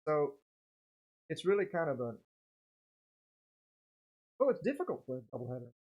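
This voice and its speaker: Folksy baseball announcer, bit husky, chatty play by play, short little exclamations. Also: mid-range voice, sing-song, normal speed, darkish tone. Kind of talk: casual live game commentary with chat.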